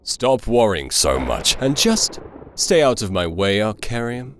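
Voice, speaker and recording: An insolent young antihero acted by a man for a fantasy game dub, fairly low in pitch with animated swings. He sounds cocky, taunting and defiant. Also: measured pace, a little hiss or hum. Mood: mocking.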